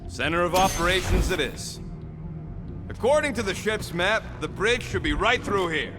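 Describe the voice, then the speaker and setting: A man voicing a cynical, irritable little raccoon-like creature in a video game, talking in gruff, slangy wisecracks. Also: sits high, animated, normal speed, music in the background. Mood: grumbling. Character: cynical, irritable small talking raccoon-like rodent tech expert.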